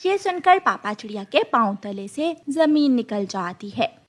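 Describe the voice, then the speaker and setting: A woman's voice: on the high side, sing-song, unhurried. A woman narrating a children's cartoon story as a scripted storyteller.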